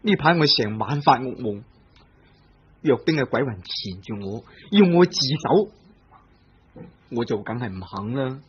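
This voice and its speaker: A man's voice: mid-range voice, lively intonation, measured pace, pauses often, breathy edge. An actor playing a young man confessing to murdering his cousin's wife, speaking Cantonese-accented Chinese in a vintage radio play. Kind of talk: vintage radio drama acting. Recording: dull lo-fi old radio recording.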